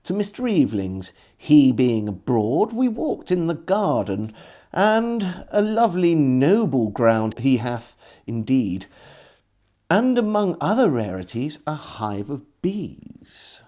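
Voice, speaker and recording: A man reading an old diary aloud as a storyteller, in measured phrases with deliberate pauses. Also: fairly high pitch, sing-song, mellow. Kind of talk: scripted literary narration of an old diary.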